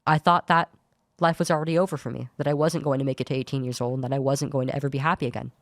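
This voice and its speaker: A young woman with a low voice that is hard to place as male or female, giving an earnest personal account as a podcast guest, often restarting her sentences. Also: fairly even intonation, racing, no room sound. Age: young adult. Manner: earnest, searching, many restarts. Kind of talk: personal account in studio talk show.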